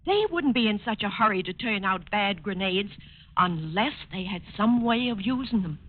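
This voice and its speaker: A woman's voice: medium pitch, expressive, moderate pace, natural voice. An actress playing an earnest, worried female informant in a 1940s radio drama, speaking with deference. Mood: worried, suspicious. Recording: noisy, tinny old-time radio recording.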